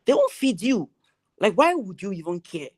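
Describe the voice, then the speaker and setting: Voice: medium pitch, big pitch swings, moderate pace. Emphatic young Nigerian speaker. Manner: emphatic, repeats key phrases, loud voice. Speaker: graduation ceremony speaker. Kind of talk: motivational speech.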